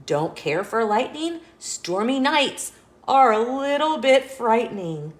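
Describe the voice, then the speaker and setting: A woman's voice: on the high side, very animated, takes it slowly, pitched above the performer's own voice. A woman voicing a nervous, fretful goose from a children's storybook.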